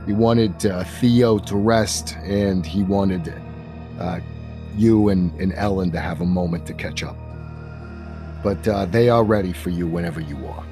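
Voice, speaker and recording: A man's voice: low voice, unhurried. A man improvising narration and dialogue as game master of a tabletop role-playing game, with music behind him.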